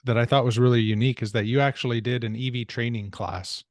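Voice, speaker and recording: Male podcast host, medium pitch, friendly interviewer, dry studio sound. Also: steady tone, fast talker, no echo.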